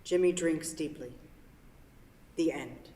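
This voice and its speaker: A woman's voice: low voice, slow pace, mellow. A woman narrating and hosting a staged reading of a play. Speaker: stage-directions narrator and event host. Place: theatre hall. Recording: very echoey hall, distant mic.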